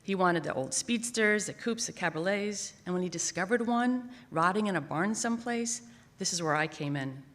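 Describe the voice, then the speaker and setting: A woman writer reading a personal essay aloud at a live event, expressive and emphatic. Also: lowish voice, moderate pace. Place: theater stage at a library, live event. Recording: echoing theater with long reverb.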